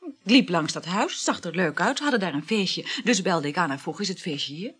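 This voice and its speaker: An actress playing an impulsive, talkative, lovestruck woman in a naturalistic radio drama, effusive and eager, with a breathy voice. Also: on the low side, expressive, moderate pace, a bit bright. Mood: breezy, animated. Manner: effusive, full of exclamations.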